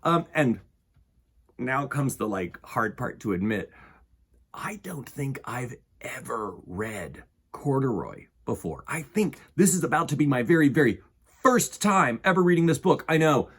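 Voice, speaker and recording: A man hosting storytime for children, speaking in an expressive, dramatic way as he chats and reads. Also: mid-range voice, unhurried, husky. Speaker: storytime host and reader. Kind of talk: chatty intro and animated children's storybook reading.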